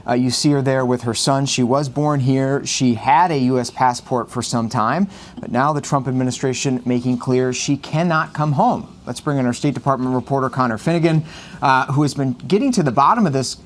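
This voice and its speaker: A male news anchor talks through the day's stories at a mid-range pitch. He keeps it conversational and unscripted, dropping frequent "uh" fillers as he hands off to his colleague. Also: fairly quick, a little hiss or hum. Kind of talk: live news desk conversation.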